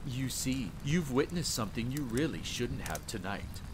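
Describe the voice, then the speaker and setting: A man's voice: mid-range voice, moderate pace, background noise, close to an everyday voice. A man voicing a suave, coldly amused aristocratic vampire count, musing and cooing with smug mockery in an audio roleplay. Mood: smug menace. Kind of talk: storybook villain in audio roleplay. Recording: steady rain ambience behind.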